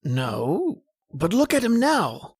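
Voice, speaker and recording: A woman playing a grave family doctor in a radio horror drama, speaking in a measured, formal way. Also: on the low side, wildly swooping pitch, darkish tone, husky, dry recording, studio quality, exaggerated voice. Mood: grave, uneasy. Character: grave family doctor attending a dying man. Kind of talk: old-time radio horror acting.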